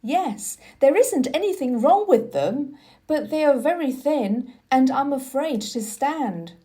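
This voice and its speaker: Woman acting an excitable, imperious young boy, high-pitched. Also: slow. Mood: eager, nervous. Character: sickly rich boy, imperious, excitable, newly defiant. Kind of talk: children's storybook reading.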